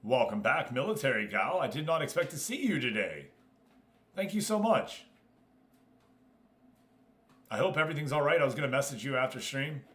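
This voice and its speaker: Male American livestream host, medium pitch. Casual, chatty game commentary that switches between greeting viewers and explaining the play. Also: very animated, normal speed, pauses often, a bit bright. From United States. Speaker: live streamer and host.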